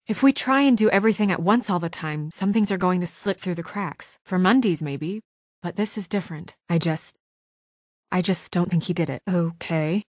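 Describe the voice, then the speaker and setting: A woman playing an earnest, guarded young office administrator in a video game, with measured, soft-spoken, often hesitant delivery. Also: on the low side, dry recording, clean studio sound, lightly acted. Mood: firm, businesslike. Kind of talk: naturalistic video game voice acting.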